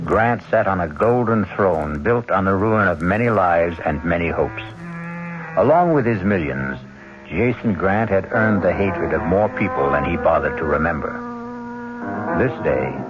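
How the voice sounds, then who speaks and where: A man narrating a radio drama in a grave, measured way. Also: deep voice. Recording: muffled old radio broadcast.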